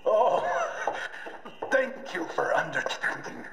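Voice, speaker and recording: Oily, high-voiced villain scientist. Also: male voice, sing-song, takes it slowly, creaky, fairly bright tone, a little hiss or hum, exaggerated voice. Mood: oily, placating. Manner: fawning, unctuous. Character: obsequious, callous male scientist villain, oily and amused. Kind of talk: video game cutscene acting. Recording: echoey hall with long reverb.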